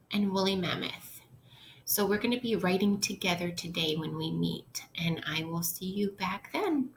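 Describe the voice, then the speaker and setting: A woman with a slightly low voice reads aloud as a teacher would to young children. She takes her time, speaking very slowly and explaining in simple, instructional language. There is faint noise behind her. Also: a bit bright, poor audio. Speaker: elementary school teacher. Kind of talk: interactive read-aloud lesson for children.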